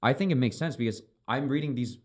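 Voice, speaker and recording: A man's voice: medium pitch, fast, darkish tone. A man whose first language is Chinese, a filmmaker chatting casually as a podcast guest, rambling with lots of fillers and casual swearing. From China.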